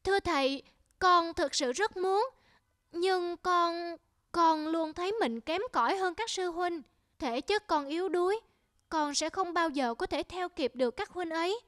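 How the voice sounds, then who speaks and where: A woman's voice: extremely high pitch, unhurried, a bit bright, dry recording, studio quality. A woman voicing a timid, self-doubting little boy monk in a cartoon, speaking in an earnest, downcast manner. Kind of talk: cartoon voice acting. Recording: music under the voice.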